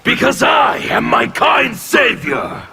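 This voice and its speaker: An actor playing a menacing male anarchist warrior who speaks with fervent zeal and bursts into shouts. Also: very high voice, sing-song, slow pace, loud music behind the voice, exaggerated voice. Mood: fanatical. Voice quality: gravelly, intimidating.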